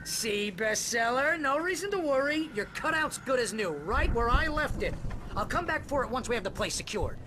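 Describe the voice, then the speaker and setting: An actor playing a loud, wisecracking American best-friend sidekick in a video game, excitable, jokey and casual. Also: male voice, extremely high pitch, normal speed, noisy background. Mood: joking, casual. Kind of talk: video game cutscene acting. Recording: loud music behind the voice. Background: loud music.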